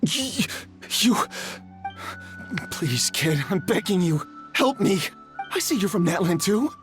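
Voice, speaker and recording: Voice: medium pitch, very animated, takes it slowly. An actor voicing a desperate middle-aged con man in a video game, pleading and blustering in a stammering, wheedling way, with music behind. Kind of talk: dubbed video game cutscene acting.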